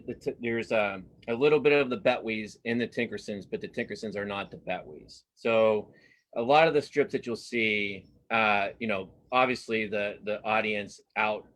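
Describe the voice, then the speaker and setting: A man's voice: fairly low pitch, fairly bright tone. A middle-aged man speaking as an interviewed cartoonist in a casual, earnest way, often interrupting himself and starting over. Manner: halting, self-interrupting, earnest.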